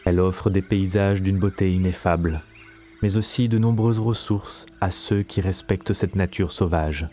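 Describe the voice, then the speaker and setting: Deep, mellow male storyteller. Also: steady tone, normal speed, a little hiss or hum. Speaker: narrator. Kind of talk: scripted fantasy story narration. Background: faint music.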